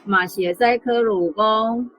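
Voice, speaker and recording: A woman's voice: fairly high pitch, unhurried, fairly bright tone, slight background noise, poor audio. A woman with a Taiwanese accent explaining words as a language teacher, in a conversational way.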